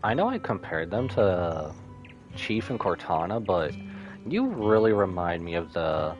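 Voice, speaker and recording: A man's voice: sits low, very animated, unhurried, highs cut off, faint music behind. A man giving casual live commentary as he streams a video game.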